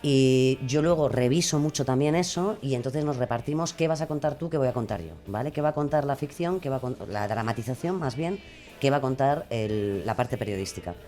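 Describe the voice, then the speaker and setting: A woman from Spain with a notably low voice speaks informally as a guest in an interview. She thinks aloud, stopping and restarting as she rewords her explanations. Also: dark tone, soft background music. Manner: halting, thinking aloud, self-correcting. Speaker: podcast creator and guest.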